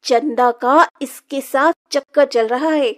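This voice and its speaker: Woman acting a spoiled, very high-voiced young daughter. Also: slow pace, fairly bright tone. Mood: suspicious, tattling. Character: spoiled, tattling twenty-year-old daughter. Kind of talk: Hindi moral-story cartoon dubbing.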